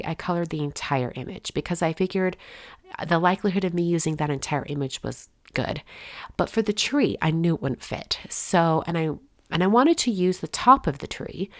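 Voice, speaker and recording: A woman's voice: sits low, no room sound. A woman hosting a card-making channel, narrating a casual step-by-step voice-over while congested from a head cold. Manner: chatty, relaxed, explains step by step.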